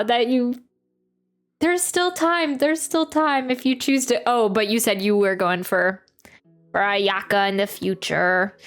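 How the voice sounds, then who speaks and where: A woman game streamer chatting casually and reacting on a livestream. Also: sits high.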